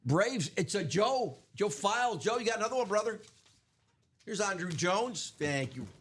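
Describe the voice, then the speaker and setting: A man hosting a live card break stream, chatting loosely with many fillers, his voice going hoarse. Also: sits high, very animated, normal speed, fairly bright tone. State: losing his voice. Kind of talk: casual live stream chat.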